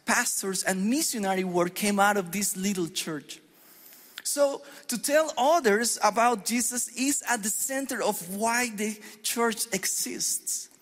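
A middle-aged Colombian pastor with a Colombian Spanish accent delivers an earnest, measured sermon. His voice sits high for a man, and it rings with the long reverb of a church hall. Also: a bit bright. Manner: earnest, measured preaching with deliberate pauses on scripture.

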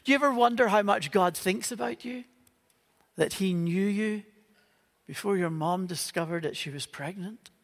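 A man's voice: high-pitched, normal speed, big-room sound. A man with a Northern Irish accent preaching an earnest Christmas Eve sermon as a pastor. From Northern Ireland. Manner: earnest, with playful humorous asides. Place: church auditorium.

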